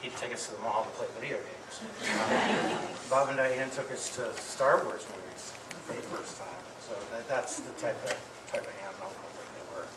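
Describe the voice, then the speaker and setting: A middle-aged man giving an affectionate eulogy to an audience, speaking haltingly with pauses and repeated words. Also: medium pitch. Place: medium-sized indoor hall with audience. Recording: distant mic, echoey room, clear background noise.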